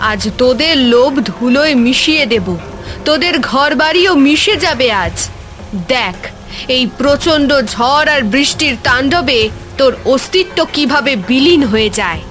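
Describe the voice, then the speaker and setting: Angry magic swan maiden from a fairy tale cartoon, highish voice, stern and threatening, music behind. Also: female voice, lively intonation, normal speed, a bit bright. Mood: vengeful, threatening. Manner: stern, declamatory, menacing. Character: wrathful magical swan maiden spirit, female.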